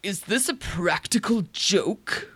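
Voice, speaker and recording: A woman playing an irascible, blustering old rich uncle with a creaky voice in a comic radio drama. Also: medium pitch, lively intonation, fairly bright tone, full character voice. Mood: outraged, scornful. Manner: emphatic, halting repetitions when indignant.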